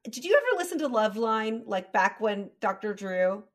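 Young socal woman hosting a podcast, mid pitch, candid, laughs a lot. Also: big pitch swings, moderate pace. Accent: Southern Californian. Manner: laughs often, chatty and candid. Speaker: podcast host. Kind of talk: casual chat.

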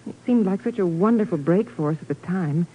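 An actress playing an earnest, grieving young widow, anxious but determined, in a 1950s radio drama. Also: female voice, on the low side, animated, brisk, slightly rough. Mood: earnest, distressed. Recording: thin, narrow-band vintage radio recording.

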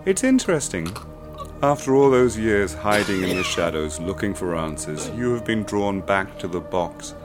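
An actor playing a calm, sinister male cultist who narrates his own dark initiation in a measured voice. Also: on the low side. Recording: loud music under voice.

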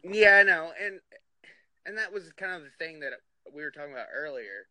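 A man's voice: a little high, lively intonation, a little fast, bright, forceful tone, no room sound. A man in his thirties from New Jersey, hosting a casual podcast chat in a loose, profane, laughing way. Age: 30s. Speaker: podcast host.

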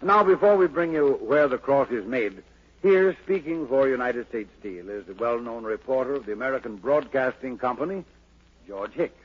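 A man's voice: mid-range voice, lively intonation, moderate pace, slightly rough. A man announcing a drama programme formally, in the scripted style of 1940s radio. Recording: muffled, noisy 1940s radio recording.

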